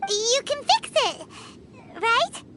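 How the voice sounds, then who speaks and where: Game sidekick: tiny fairy, extremely high squeaky voice, wildly swooping intonation, blunt and chirpy. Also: female voice, sing-song, slow pace, music in the background, exaggerated voice. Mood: puzzled, impatient. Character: tiny perky female fairy companion, childlike and blunt. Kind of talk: video game voice acting.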